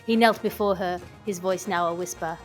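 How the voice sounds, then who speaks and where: A woman's voice: fairly low pitch, steady tone, moderate pace, faint music behind, natural voice. A woman performing an earnest, florid narrator of a mock period-romance audiobook, dramatic in delivery.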